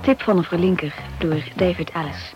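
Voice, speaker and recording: Woman announcer over loud music. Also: on the low side, moderate pace. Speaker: radio play announcer. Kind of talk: scripted credits reading. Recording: dull radio recording.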